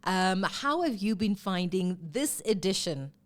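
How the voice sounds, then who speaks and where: A woman from South Africa hosting a live sports talk show, chatty and lively, a bit congested from a cold. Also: mid-range voice, unhurried. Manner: chatty and lively, with fillers and self-corrections.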